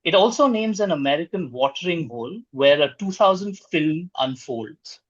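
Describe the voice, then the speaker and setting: A man hosting a quiz, reading out questions in a formal, measured way. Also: a little high, animated, dry recording, highs cut off.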